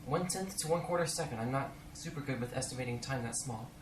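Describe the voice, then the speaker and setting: A man's voice: mid-range voice, fast. A man giving casual, rambling commentary to camera as an enthusiastic radio hobbyist, chatting with friends. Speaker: video creator reviewing weather radios. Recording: noisy amateur recording with storm ambience.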